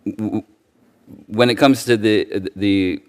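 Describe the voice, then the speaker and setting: A man giving formal testimony as a judicial nominee, answering carefully with a hesitant, halting, stammering delivery. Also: lowish voice, fairly even intonation, clear, resonant tone.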